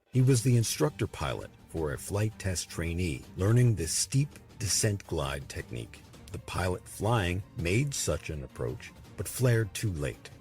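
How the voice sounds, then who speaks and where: A man delivers scripted documentary narration in a very deep register. Faint music plays behind his voice. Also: a little mellow. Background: background music.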